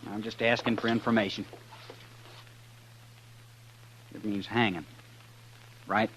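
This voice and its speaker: A man plays a western outlaw in 1950s radio style. His voice sits low, and he talks in short, guarded phrases, cool and wary. The vintage recording carries faint noise and hum. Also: level tone, normal speed, a bit bright, natural voice. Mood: wry, probing. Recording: noisy, humming old radio recording.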